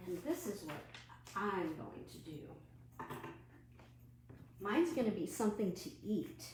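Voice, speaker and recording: A woman leading an online children's storytime and craft from home, animated and putting questions to young viewers. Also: mid-range voice, a little slow. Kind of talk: children's storytime read-aloud and craft demonstration. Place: at home, indoors. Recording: roomy echo, faint background noise.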